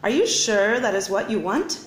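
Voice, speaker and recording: A woman's voice: mid-range voice, expressive, moderate pace, roomy sound, slight background noise, close to an everyday voice. A woman voicing a puzzled but accommodating mother in a storybook, questioning and matter-of-fact. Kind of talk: storybook read-aloud character voice.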